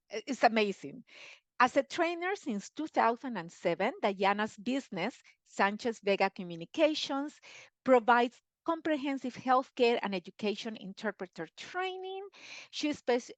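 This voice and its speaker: A woman's voice: a little high, a bit bright, no echo. A woman hosting a live online webinar over a video call, chatty and effusive, with plenty of fillers. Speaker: webinar host.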